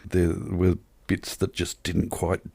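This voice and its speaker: A man co-hosts a casual film podcast in a low-pitched voice. He talks in a wry, wordy way with colourful turns of phrase, and the sound is dry and free of room echo. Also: completely flat delivery, slow pace, gravelly, darkish tone. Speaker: podcast co-host reviewing films. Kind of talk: casual chat.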